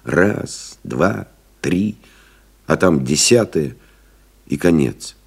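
A loyal friend narrating solemnly, deep voice, measured and rhetorical, literary radio drama. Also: male voice, animated, creaky, husky, full character voice. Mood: grave. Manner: grave, measured, rhetorical, building in intensity. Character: pilot's devoted male friend, solemnly retelling his ordeal.

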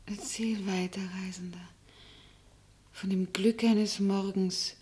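An actress playing an entranced listener in a radio play, coaxing a storyteller in a hushed voice. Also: female voice, medium pitch, fairly even intonation, takes it slowly, a little hiss or hum, lightly acted. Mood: hushed, yearning. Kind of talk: literary radio play acting.

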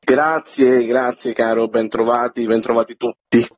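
A man, a theatre director, talking slowly and earnestly in a radio interview. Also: medium pitch, flat delivery, noisy background. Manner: slow, earnest, grateful. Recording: dull lo-fi radio sound.

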